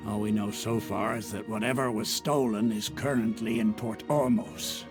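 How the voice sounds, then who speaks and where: An actor voicing a gruff mercenary chief who explains things in a measured, wry way, in a game cutscene. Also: male voice, on the low side, steady tone, close to an everyday voice. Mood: explaining. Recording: game music clearly behind.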